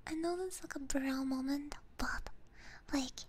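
A woman whispering softly and casually to her viewers as an ASMR livestreamer, close to a binaural microphone. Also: high-pitched, fairly even intonation, unhurried. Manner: soft, hushed whispering.

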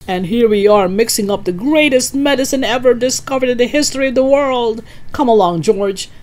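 A woman voicing an excitable, bossy middle-aged man in a storybook reading, crying out his orders eagerly. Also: natural voice. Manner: shrill, cries out eagerly.

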